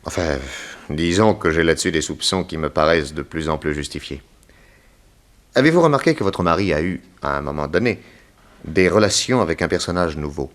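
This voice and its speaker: A deep-voiced private detective in a vintage French radio play. He questions people with measured courtesy and quiet persistence. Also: lively intonation. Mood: probing, courteous. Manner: polite, measured, probing. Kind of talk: 1960s French radio drama acting.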